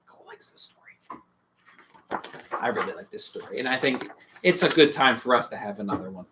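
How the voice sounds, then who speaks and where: Very animated, playful bedtime story host. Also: mid-range voice, a little fast, background noise. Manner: playful, expressive. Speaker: host reading bedtime stories. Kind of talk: animated children's storybook reading with casual asides.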